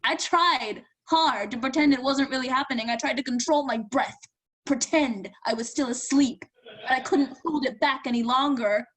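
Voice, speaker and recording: A woman performs an anguished young woman in a realistic stage drama, with a fairly high voice. She sounds pained and earnest, yet resolute and defiant toward the brother she is escaping. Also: moderate pace, a bit bright, slightly rough, poor audio. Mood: pained, confessional. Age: young adult.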